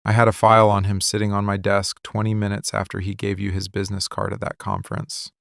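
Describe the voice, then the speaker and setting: A man playing a possessive, menacing mafia boss in love, in an intimate boyfriend ASMR monologue, speaking in controlled, deliberate, intense short statements close to the mic. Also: on the low side, monotone, gravelly, fairly bright tone, dry recording. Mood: quiet menace. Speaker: voice actor. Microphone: close studio mic, dry.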